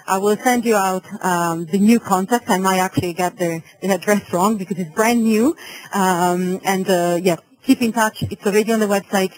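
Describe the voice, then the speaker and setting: A woman hosts an online webinar, presenting to participants. Her voice sits at a medium pitch for a woman. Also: a little mellow, poor audio. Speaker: webinar host.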